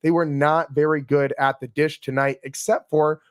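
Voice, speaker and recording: A man with a raspy, worn voice hosts a casual sports podcast, chatting through a game recap with frequent fillers and restarts. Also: on the high side, level tone, moderate pace, no echo, studio quality. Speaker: podcast host.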